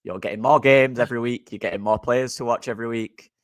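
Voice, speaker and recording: A man's voice: medium pitch, fairly even intonation, fast talker, dry recording, studio quality. A man with a Yorkshire accent, co-hosting a casual football podcast, talking with enthusiasm. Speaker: podcast co-host.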